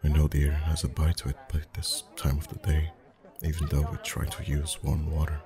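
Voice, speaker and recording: A man playing a friendly, chatty festival face painter in an ASMR roleplay, soft-spoken, reassuring and gently flirtatious. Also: very deep voice, completely flat delivery, brisk, over music. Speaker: voice actor.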